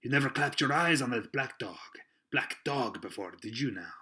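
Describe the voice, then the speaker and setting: A man's voice: fairly low pitch, normal speed, sharp tone, breathy edge. Man playing a blustering, sly old-salt pirate innkeeper. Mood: stern bullying. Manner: folksy seafaring talk, blustering. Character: jovial sly one-legged pirate innkeeper, loud and domineering.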